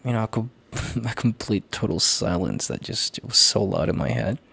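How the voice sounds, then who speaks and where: Gravelly, low-voiced gentle boyfriend, acted by a man. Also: sing-song, exaggerated voice. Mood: anguished, pleading. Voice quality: gravelly, husky, breathy. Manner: halting, tender, hushed, sighing between phrases. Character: gentle worried boyfriend, relieved and heartfelt, on a call. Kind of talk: intimate audio roleplay monologue.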